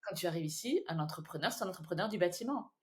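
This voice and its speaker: A woman hosting a podcast in casual chat, relaxed and conversational, often answering with quick agreeing replies. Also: on the low side. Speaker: podcast host.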